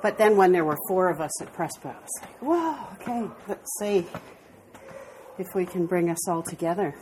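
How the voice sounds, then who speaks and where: A woman's voice: lowish voice, expressive, normal speed, background noise. An older American woman, a guest walking artist, chatting in a meandering way while walking outdoors through city streets. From United States. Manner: chatty, meandering, laughs easily. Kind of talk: casual walking interview.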